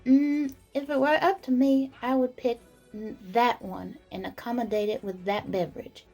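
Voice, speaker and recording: A woman's voice: fairly high pitch, steady tone, moderate pace, darkish tone. A woman playing a busy, polite and chatty tavern serving woman in a fantasy audio drama. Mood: chatty, attentive. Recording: background music under the voice.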